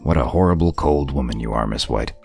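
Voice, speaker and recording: A man as a very deep, gravelly gruff lawman. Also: animated, fairly quick, a little mellow, faint music behind, full character voice. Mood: sardonic. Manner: laconic, dry teasing. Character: gruff, sardonic male wolf lawman, loyal underneath. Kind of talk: audio drama dialogue.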